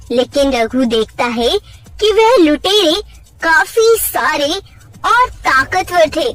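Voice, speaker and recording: A woman narrating a scripted children's story in an expressive storytelling manner. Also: sits high, rough recording. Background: background music.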